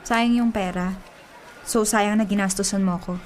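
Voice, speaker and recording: A woman's voice: mid-range voice, steady tone, normal speed, a little hiss or hum, lightly acted. An actress playing a nagging, exasperated young girlfriend in a Filipino radio drama, scolding and sulky.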